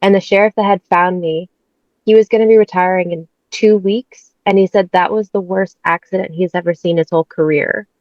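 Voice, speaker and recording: A woman's voice: lowish voice, fairly even intonation, a little fast, darkish tone, dry recording. A woman in her thirties from the States, a guest telling her personal story candidly and chattily, laughing often. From United States. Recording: dull, dry sound.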